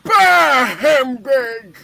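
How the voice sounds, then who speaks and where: A man's voice: very high-pitched, animated, drawn-out words, creaky, bright, forceful tone, exaggerated voice. A man playing a cranky, miserly old boss in a radio-play reading, blustering and snappish with bitter scorn. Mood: scornful.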